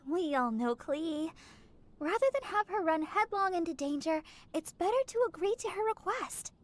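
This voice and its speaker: A woman voicing a sweet, polite, slightly bashful teenage girl healer in an anime game, gentle and helpful. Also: very high voice, animated, normal speed, a little hiss or hum.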